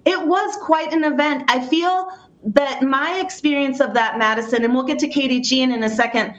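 A woman hosting a radio discussion, brisk and steering the conversation. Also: high voice, muffled recording. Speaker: radio show host.

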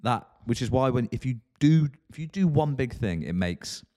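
A man's voice: mid-range voice, lively intonation, moderate pace, dark tone. A man co-hosting a podcast, chatting casually in a jokey, rambling way and laughing easily. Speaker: podcast co-host.

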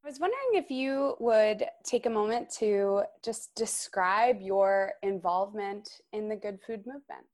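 A woman hosting a podcast, reading a measured introduction and asking interview questions. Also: medium pitch, animated, darkish tone.

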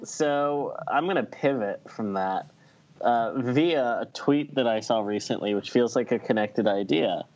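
A man's voice: medium pitch, a little slow, a little mellow, faint music behind. A man co-hosting a podcast, talking in a slangy, wry way in casual chat with friends. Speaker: podcast co-host. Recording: slightly dull, lo-fi microphone sound.

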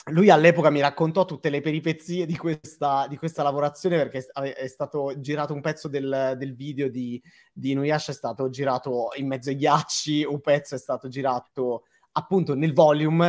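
A man's voice: mid-range voice, fairly even intonation, moderate pace, hardly any pauses, a little mellow. A man hosting a casual live interview, talking in a hesitant, self-deprecating, jokey way. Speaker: host.

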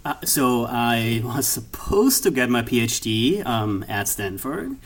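A man's voice: medium pitch, sing-song, takes it slowly. A man in his forties with an Austrian accent, a guest economist answering interview questions hesitantly with many fillers. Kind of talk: interview conversation.

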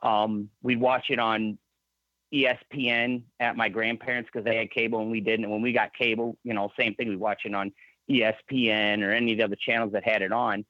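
A man's voice: fairly low pitch, flat delivery, fairly quick, sharp tone, no echo, highs cut off. A man in his thirties with a Michigan accent, a guest telling his story in a rambling, casual way. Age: 30s. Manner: rambling, restarts often. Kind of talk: casual interview.

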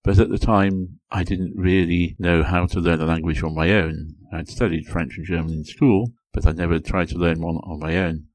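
A man from England hosting a podcast, explaining points one by one in a measured, explanatory way. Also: deep voice, fairly even intonation, darkish tone. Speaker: podcast host. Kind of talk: solo educational podcast talk.

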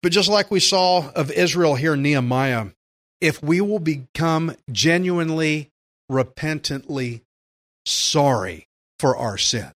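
A man preaching a sermon, earnest and emphatic, slowing down to stress key phrases. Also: fairly high pitch, animated, fairly bright tone. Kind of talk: sermon with scripture readings.

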